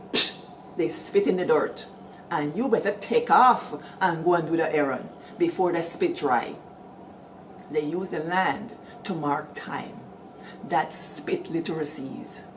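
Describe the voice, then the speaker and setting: A middle-aged Guyanese woman hosting, telling village stories in a lively, animated way and calling out to her listeners. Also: on the low side, slight background noise, rough recording. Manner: lively, animated storytelling with call-outs to listeners. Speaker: storyteller host. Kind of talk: storytelling talk.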